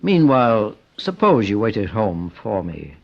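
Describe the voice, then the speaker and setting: Deep, husky, authoritative gentleman detective. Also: very animated, heavily acted. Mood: calm, businesslike. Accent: American. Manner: measured, deliberate, formal. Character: calm, authoritative gentleman private investigator, the boss. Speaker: radio actor. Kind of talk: old-time American radio detective drama acting. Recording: muffled old radio broadcast.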